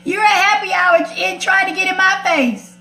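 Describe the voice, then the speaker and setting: Sassy american woman radio host, really high voice, blunt n emphatic, echoey room. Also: normal speed, vocal fry, sharp tone. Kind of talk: casual opinionated chat. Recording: roomy echo.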